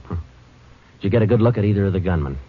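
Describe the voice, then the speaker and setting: An actor playing a terse, weary American detective sergeant in a deadpan old radio crime drama. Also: male voice, deep voice, very fast, husky. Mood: terse questioning. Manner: clipped, deadpan. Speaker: narrator and lead actor. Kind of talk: 1940s-50s radio crime drama narration. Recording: muffled old radio recording, loud music.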